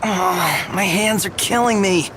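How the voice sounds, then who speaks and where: A man's voice: high-pitched, slow pace, noisy background. An actor playing a jokey, boyish young man who complains and tires easily in a video game. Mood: jokey, complaining. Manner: light, expressive, complaining.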